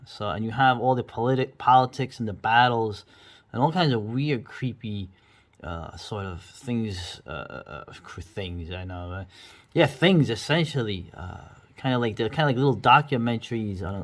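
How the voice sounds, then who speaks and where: A man's voice: fairly low pitch, lively intonation, moderate pace. A middle-aged man giving casual, rambling, enthusiastic commentary as the host of a video review.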